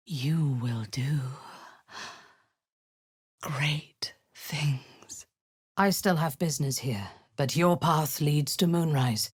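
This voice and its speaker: A cold zealot commander, low husky whisper, deep voice, swinging intonation, slow and dry. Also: female voice, no echo, exaggerated voice. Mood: menacing. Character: cold, imperious woman warrior-commander, zealous cultist. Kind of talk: video game character acting.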